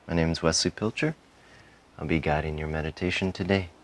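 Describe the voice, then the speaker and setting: A man guiding a meditation in a calm, soothing manner, giving soft instructions in short phrases with frequent pauses. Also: extremely low pitch, slight background noise. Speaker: meditation guide. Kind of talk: guided meditation, softly spoken instruction.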